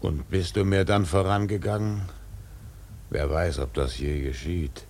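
A man playing a brooding, obsessed old whaling captain in a radio drama, with gravelly, weighty speech. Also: deep voice, measured pace, darkish tone. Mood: musing, low. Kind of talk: German radio play acting.

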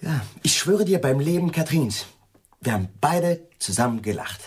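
A man playing a conciliatory, evasive friend in a radio play, hesitant and eager to smooth things over. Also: fairly high pitch, lively intonation, normal speed. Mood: defensive, evasive. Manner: hesitant, placating.

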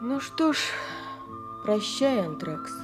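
A woman's voice: a little high, sing-song, takes it slowly, music in the background. An actress playing the weary, long-suffering wife of a poor man in an audio drama, subdued and resigned.

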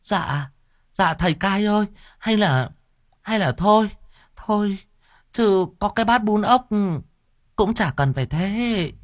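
A man's voice: high-pitched, expressive, takes it slowly, pauses often, no room sound, studio quality, voice pushed up. A man voicing a flustered middle-aged woman noodle-soup seller who wails and stammers. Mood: pleading. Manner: wailing, pleading, stammering.

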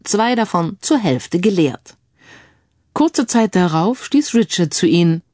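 A woman's voice: lowish voice, sing-song, slow, darkish tone, dry recording, studio quality. A woman reading scripted narration for a crime audiobook.

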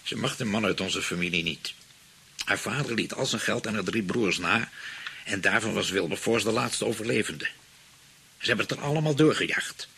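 Casting: a hesitant, money-minded husband in a radio play, Netherlands Dutch accent, creaky and bright-edged. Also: male voice, on the low side, expressive, normal speed, slight background noise, full character voice. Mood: explaining. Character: spendthrift husband fretting over an aunt's inheritance.

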